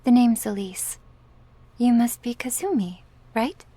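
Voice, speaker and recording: A woman playing a politely teasing, smug young recruit of a crime syndicate, soft and breathy in an ASMR roleplay. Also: on the high side, expressive, a little slow, dry recording. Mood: polite, curious.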